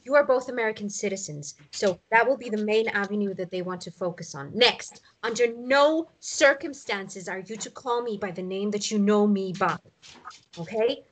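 A woman's voice: medium pitch, normal speed, slight background noise, lightly acted, poor audio. An actress playing a stern woman captive who takes command like a military leader, giving firm, controlled orders in a drama read-through. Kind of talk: script read-through acting.

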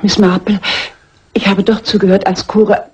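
Very breathy, timid woman companion. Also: medium pitch, level tone, normal speed, a little mellow. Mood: anxious, timid. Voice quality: husky, breathy. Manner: hesitant, anxious, apologetic. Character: timid, frightened lady companion confiding what she overheard. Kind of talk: German crime film dub acting. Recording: dull, lo-fi old film soundtrack.

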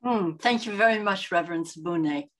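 A middle-aged woman moderating an online panel, thanking speakers and moving on in a measured, gracious way. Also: lowish voice. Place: home room, video call. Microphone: video call webcam.

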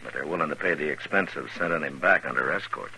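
Deep, forceful Old West lawman. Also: vocal fry, heavily acted. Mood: dry, concerned. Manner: plainspoken, measured. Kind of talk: 1950s radio western acting. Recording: noisy old 1950s radio recording.

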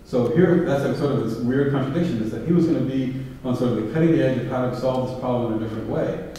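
Male speaker, mellow voice, long echo, caught from the back of a big hall. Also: mid-range voice, quick pace, slight background noise. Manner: thoughtful, many fillers and restarts. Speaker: guest speaker. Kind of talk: public talk with audience questions. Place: large hall with round tables. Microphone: podium mic, filmed from far back. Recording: echoey hall from far off.

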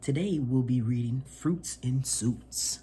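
A man, hosting a children's storytime and reading aloud in an expressive, deliberate way. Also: mid-range voice, a little hiss or hum. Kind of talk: read-aloud children's picture book. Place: small indoor room at home.

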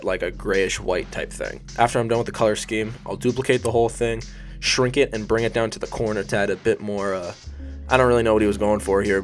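Sniffly young man rambling through a tutorial. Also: fairly low pitch. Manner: rambling, self-deprecating, swears casually. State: sniffly from allergies. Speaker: YouTube design tutorial host. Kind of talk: casual voice-over tutorial. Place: hot bedroom.

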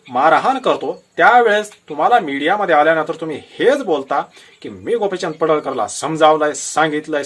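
A man with a Maharashtrian Marathi accent delivers political commentary straight to his viewers. His voice sits fairly high and swings widely in pitch. He explains things emphatically, like someone telling a story, throwing in rhetorical questions as he goes. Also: a bit bright.

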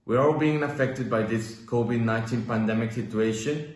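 Young man from Mexico, mid pitch, echoey room. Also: moderate pace. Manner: earnest, some fillers and restarts. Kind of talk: recorded video message. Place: indoor room.

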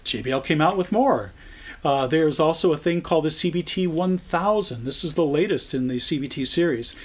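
Older American man explaining products, conversational and halting. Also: on the high side, expressive. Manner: conversational, halting, thinking as he goes. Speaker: product trainer. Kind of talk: informal product explainer to camera.

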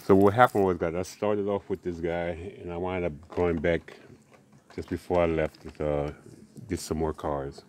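Low-voiced man chatting about toy cars. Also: normal speed, slight background noise. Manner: relaxed, rambling, with many uhs and restarts. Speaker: die-cast car collector vlogger. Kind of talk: casual show-and-tell chat.

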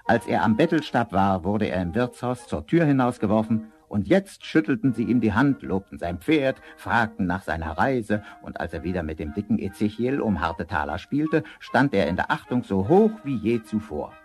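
A man's voice: fairly low pitch, expressive, moderate pace, hardly any pauses, a little mellow, soft background music, close to an everyday voice. A man narrating a German fairy tale as a scripted storyteller.

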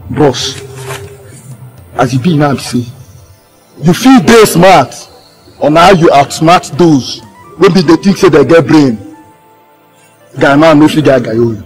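An actor playing a defiant, aggrieved gangster in a film drama, with a Nigerian accent and an insistent, confrontational manner. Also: male voice, a little high. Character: defiant, aggrieved male gangster demanding his share.

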